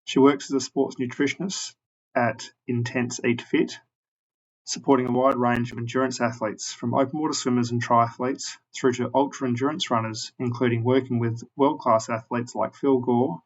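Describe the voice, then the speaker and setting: A man hosting a podcast, reading a prepared introduction at a measured pace and then putting questions to his guest. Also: medium pitch, gravelly, husky, dull audio. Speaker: podcast host. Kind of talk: scripted introduction and interview questions.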